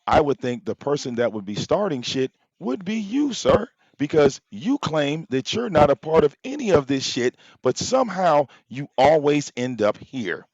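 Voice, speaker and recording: A man hosting a live stream, delivering a loud, profane, confrontational rant full of rhetorical questions. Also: fairly high pitch, sing-song, moderate pace, few pauses, no room sound. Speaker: livestream host. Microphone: popping mic. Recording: dull, slightly lo-fi, dry sound.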